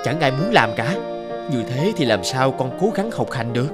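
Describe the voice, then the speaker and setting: A man voicing an ambitious, strict but loving father in an animated moral story, with a firm, earnest, lecturing manner. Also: mid-range voice, moderate pace, over loud music, lightly acted. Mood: disapproving.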